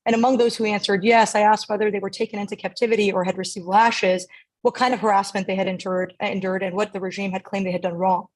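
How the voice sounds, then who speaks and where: A woman's voice: medium pitch, little pitch movement, fast, low-quality recording. A woman from the United States, a doctor and guest, answering fluently and articulately. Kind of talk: interview answer.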